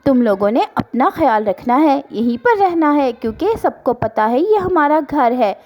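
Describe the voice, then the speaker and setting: A woman voicing a caring mother bird, gently instructing, in a children's cartoon story. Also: high voice, sing-song, normal speed. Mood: cautioning, caring.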